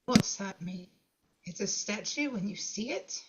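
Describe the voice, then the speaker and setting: A woman's voice: medium pitch, expressive, measured pace, dull audio, close to an everyday voice. A woman reading the part of a cheeky, curious young female companion at a script table read, pressing with teasing questions. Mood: puzzled.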